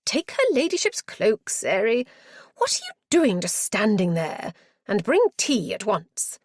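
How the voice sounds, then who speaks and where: A woman's voice: mid-range voice, wildly swooping pitch, unhurried. A woman reading a novel aloud, voicing a flustered, bustling widow who gushes with old-fashioned politeness. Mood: flustered gushing. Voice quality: fluttery, gushing. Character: flustered fussing older village widow.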